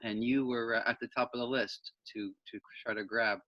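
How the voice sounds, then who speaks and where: A man's voice: mid-range voice, fairly even intonation, a little fast, no room sound, lo-fi sound. A man speaking casually as an interviewer, in an informal conversational introduction.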